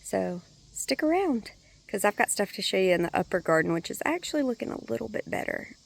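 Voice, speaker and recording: A woman vlogging a casual walk-through of her home garden, speaking in a chatty, relaxed way with a hoarse, congested voice. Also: mid-range voice, brisk. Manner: chatty, relaxed, thinking aloud.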